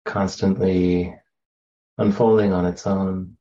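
A man guides a meditation in a low, mellow voice. His delivery is very slow and gentle, with long pauses between phrases. The sound is slightly dull. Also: little pitch movement. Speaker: meditation teacher.

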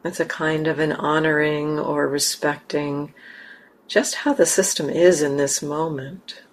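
A woman leading a guided meditation as a teacher, speaking gently and unhurriedly with long pauses. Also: deep voice, steady tone, slight background noise.

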